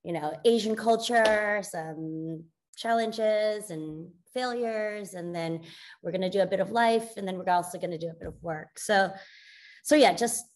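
A woman in her forties from the United States, a guest speaker telling her story in an animated, expressive way over a video call. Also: mid-range voice, unhurried. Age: 40s. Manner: animated, expressive, laughs often. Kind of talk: conversational interview. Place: plain indoor room.

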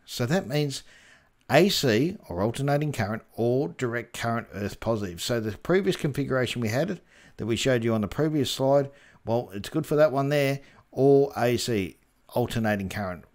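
A man's voice: mid-range voice, animated, moderate pace, a little mellow. Australian man giving an informal tutorial. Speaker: presenter of a four-wheel-drive repair tutorial. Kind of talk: informal how-to tutorial narration.

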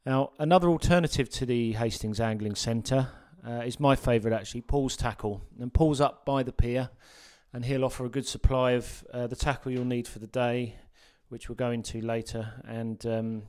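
Smooth-voiced man narrating a fishing guide. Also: medium pitch, moderate pace, hardly any pauses. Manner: relaxed, with frequent ums and uhs. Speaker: sea fishing guide presenter.

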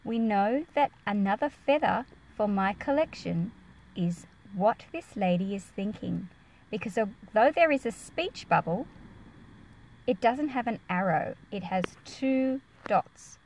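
A woman's voice: medium pitch, expressive, a little slow, darkish tone, slight background noise, low-quality recording. A woman reading a picture book aloud and explaining it to children in a careful, teacherly way. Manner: careful, explanatory, enunciated for young listeners.

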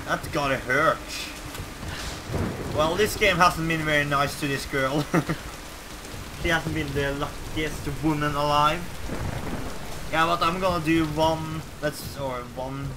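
A young Norwegian man, with Norwegian as his first language, giving casual let's play commentary in English with a Norwegian accent, swearing and reacting loudly to the game. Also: a little high, steady tone, slow pace, pauses often. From Norway. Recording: game sound behind, rain noise.

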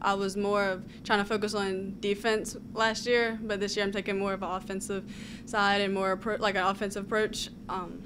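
A woman's voice: medium pitch, flat delivery, moderate pace. A young adult woman, a college basketball player, giving brief, hesitant answers into a table mic in a press room. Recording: noisy room ambience.

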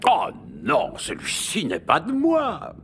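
Wildly swooping, mischievous chimera. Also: male voice, high voice, huge pitch swings, takes it slowly, shouting, heavy background noise, full character voice. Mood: sly, playful. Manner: theatrical, teasing, flamboyant. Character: whimsical, mischievous, smug chimera creature of chaos. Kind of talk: French-language animated cartoon dub. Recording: music behind the voice.

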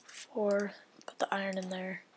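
Woman, gameplay commentator, slow unhurried delivery, rambling and half-whispered asides, faint hiss and mouse clicks behind. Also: mid-range voice, completely flat delivery. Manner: rambling, thinking aloud, often drops to a whisper. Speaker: gaming video creator.

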